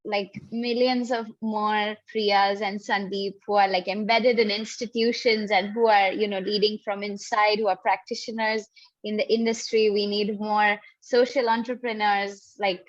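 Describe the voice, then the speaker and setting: A woman's voice: medium pitch, flat delivery, unhurried, no echo. A young woman on a panel giving earnest, encouraging advice over a video call, often using "like" as a filler. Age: young adult. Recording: dull lo-fi sound.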